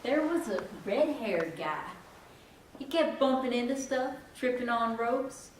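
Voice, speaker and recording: Casting: woman playing a wondering small-town churchgoer, higher voice, theatrical storytelling, very echoey. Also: measured pace, lightly acted. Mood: eager, wondering. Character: wide-eyed, churchgoing small-town Southern townsperson. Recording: echoey stage, distant, faint noise.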